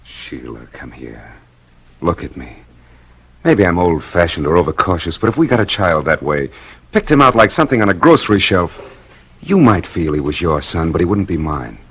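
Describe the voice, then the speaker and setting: A man playing a firm, old-fashioned husband in 1940s radio drama style, insistent and husky. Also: low voice, lively intonation, normal speed, fairly bright tone, full character voice. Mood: low, resolute. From United States. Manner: insistent, emphatic.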